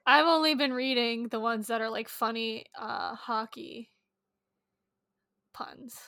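A woman's voice: a little high, takes it slowly, pushed and bright, dry recording, clean studio sound. A woman co-hosting a podcast in a casual, informal chat about books. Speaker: podcast co-host.

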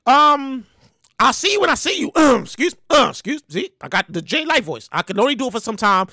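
A podcast host, talking casually and comically in an animated, slangy way with lots of repeated words. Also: high voice, brisk, no room sound, clean studio sound. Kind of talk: casual comedic monologue.

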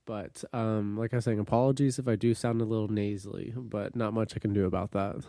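A man with a stuffy, nasal voice from a cold chats casually as a podcast co-host. His tone is dark and mellow, with a dry, close sound. He talks at an easy pace with plenty of ums. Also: fairly low pitch, a little fast, gravelly, no room sound. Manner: relaxed, chatty, many fillers. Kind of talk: casual sports podcast chat.

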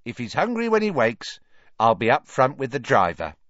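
A man's voice: mid-range voice, wildly swooping pitch, moderate pace, a bit bright, dry recording, muffled recording, pitched above the performer's own voice. A man voicing a kindly, motherly witch who sells food in an audiobook story. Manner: motherly, reassuring. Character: kindly plump witch selling food from trolley.

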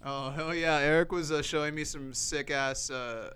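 Brash young man, crisp and dry. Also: a little high, steady tone, normal speed, sharp tone, no echo. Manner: brash, joking, swearing freely. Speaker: podcast co-host. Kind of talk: crude casual banter.

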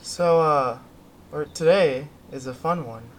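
A man co-hosting a podcast, chatting casually about pop culture in a halting way with drawn-out words. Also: fairly high pitch, a little hiss or hum. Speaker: podcast co-host.

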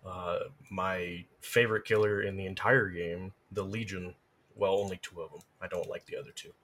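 Male podcast guest with a very low voice, laid-back conversational delivery. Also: steady tone, normal speed, a bit bright, slight background noise. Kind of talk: casual chat.

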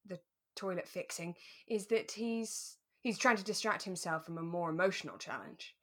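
A woman's voice: lowish voice, normal speed, pushed and bright. A British woman chatting casually and informally as a podcast co-host. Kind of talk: relaxed casual chat.

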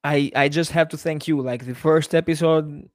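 Guy hosting a fan podcast over a video call, kinda high voice, dry sound, lots of uhs. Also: fairly even intonation, normal speed, dry recording. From Italy. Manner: non-native English, hesitant with many uh fillers. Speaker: podcast host. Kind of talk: casual chat.

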